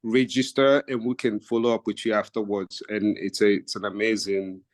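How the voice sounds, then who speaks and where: A man from Nigeria, a real estate agent calmly walking his team through his methods on a video call. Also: fairly low pitch, normal speed, no room sound. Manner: steady, methodical, stacks up examples with fillers. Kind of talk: casual explanation in a team video meeting.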